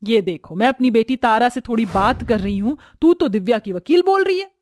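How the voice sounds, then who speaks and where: A woman's voice: on the high side, animated, fairly quick, a little mellow, a little hiss or hum, lightly acted. Haughty scolding mother-in-law, put on by a woman. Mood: curt, scolding. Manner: scolding, contemptuous, bossy. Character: haughty, suspicious middle-aged rich mother-in-law, scornful of the poor.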